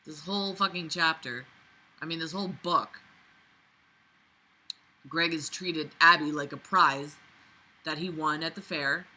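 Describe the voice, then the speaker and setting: A woman's voice: low voice, a little slow, pauses often, bright, forceful tone, poor audio. A woman reading a book aloud and breaking in with sarcastic, indignant, sweary commentary. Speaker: vlogger reading and critiquing a novel.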